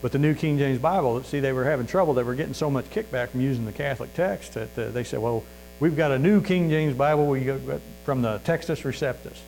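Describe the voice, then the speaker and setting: A man's voice: medium pitch, a little fast, darkish tone, rough recording. Earnest folksy preacher, a man with a Missouri accent and a mid-range voice, with a light mains hum on the track. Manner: folksy and earnest, many fillers and restarts. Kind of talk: sermon.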